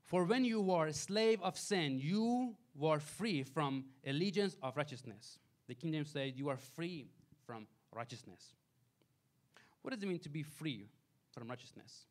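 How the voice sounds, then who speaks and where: Pastor preaching with energy. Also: male voice, on the high side, animated, measured pace. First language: Farsi. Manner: preaching with wide swings between loud emphasis and soft asides. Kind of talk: sermon. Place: small church sanctuary. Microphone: handheld mic.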